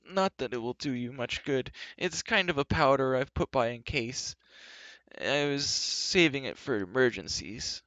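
A man's voice: mid-range voice, expressive, a little slow, fairly bright tone, no echo, voice pushed up. A man voicing a gloomy, nervous fair-folk creature in a storybook reading, moaning, dithering and full of self-pity. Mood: feeble, complaining.

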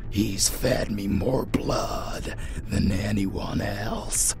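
A very slow, deeply creaking vampire lord, ancient and bitter. His breathy half-whisper broods and threatens in theatrical dark-fantasy style, with music underneath. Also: heavily acted. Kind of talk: dark fantasy audio roleplay.

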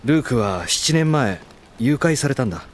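Casting: loyal, laid-back young guy for anime drama male actor, mid-range voice with lively intonation. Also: medium pitch, moderate pace, slight background noise, natural voice. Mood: grave storytelling. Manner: casual, frank masculine speech. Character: easygoing, loyal, brotherly young man. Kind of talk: Japanese anime audio drama acting.

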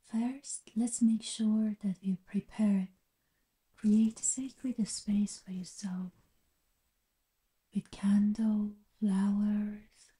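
A woman leading an ASMR guided meditation in a soothing, unhurried, mostly whispered delivery. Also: medium pitch, dark tone, slightly rough, rough recording.

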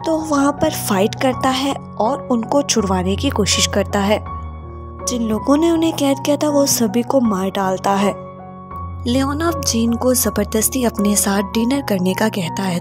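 A woman narrating a movie plot recap in a steady, scripted voice-over. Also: fairly high pitch. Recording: voice-over with loud background music.

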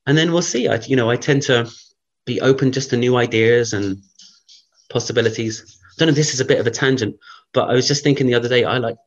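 A man, a guest researcher, thinking aloud in a casual interview, rambling with many fillers, his voice croaky from early morning. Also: mid-range voice, quick pace, highs cut off.

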